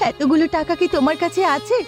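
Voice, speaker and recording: Woman voicing an anguished, high-voiced village mother. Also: fast talker, natural voice. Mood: anguished, pleading. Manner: pleading, urgent. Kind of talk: melodramatic cartoon voice acting. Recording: music behind the voice.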